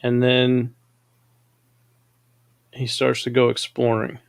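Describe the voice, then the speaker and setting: A man's voice: medium pitch, completely flat delivery, slow, creaky, smooth, no room sound. Chatty male stream host. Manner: chatty, reads viewer comments aloud, laughs often. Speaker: show host. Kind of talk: casual chat on a live stream.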